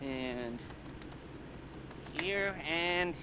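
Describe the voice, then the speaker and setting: A nerdy, neurotic heist planner from a crime video game. His voice sits high for a man and swings wildly up and down. He rambles in a halting, sardonic way, over loud background noise. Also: expressive. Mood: embarrassed, flustered. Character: nerdy, neurotic, excitable criminal heist mastermind with a cane. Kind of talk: video game cutscene and mission dialogue acting.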